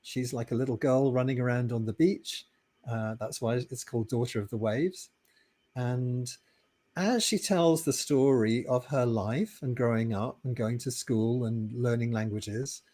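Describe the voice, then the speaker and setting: A middle-aged British man with a British accent, a podcast guest who answers questions like a thoughtful, measured storyteller. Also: medium pitch, warm tone. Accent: British English. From Britain. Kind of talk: conversational interview answers.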